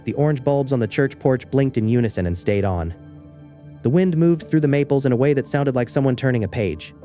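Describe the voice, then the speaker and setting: A man narrating a scripted first-person horror story in a measured, understated way. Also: mid-range voice, mellow.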